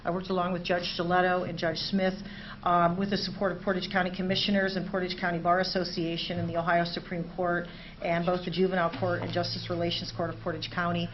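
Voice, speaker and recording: A middle-aged woman, a state legislator, giving formal remarks to a city council in a measured way with many "uh" fillers. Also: on the low side, flat delivery. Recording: slightly dull meeting recording, faint hiss.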